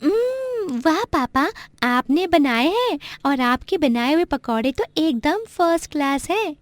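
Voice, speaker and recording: Woman as a high-voiced little schoolboy. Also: expressive, a little slow, no room sound. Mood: delighted. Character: small schoolboy son, eager and polite.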